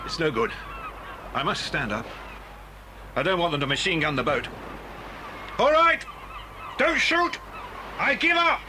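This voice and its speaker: Hearty British adventure hero, commanding, lively swooping delivery, noisy old cassette drama. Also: male voice, fairly high pitch, a little slow, pauses often, exaggerated voice. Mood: urgent. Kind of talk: children's audio drama acting. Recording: noisy 1980s cassette drama, engine ambience.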